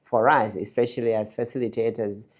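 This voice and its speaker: A man's voice: lowish voice, steady tone, brisk. A middle-aged man, a peace facilitator, recounting events in a podcast discussion. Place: indoor room around a wooden table.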